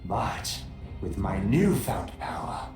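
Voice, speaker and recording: Theatrical villain sorcerer, wildly ranging. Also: male voice, fairly low pitch, big pitch swings, takes it slowly, darkish tone, echoey room, soft background music, exaggerated voice. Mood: gloating menace. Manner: theatrical, menacing, gloating. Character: scheming megalomaniac sorcerer villain. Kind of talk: video game voice acting.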